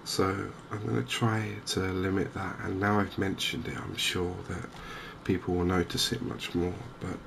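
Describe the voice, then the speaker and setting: A softly spoken man giving hesitant, unhurried ASMR-style commentary over a video game. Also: sits low, little pitch movement. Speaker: gaming video host. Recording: clear game ambience noise behind voice. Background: outdoor wildlife ambience from a fishing game.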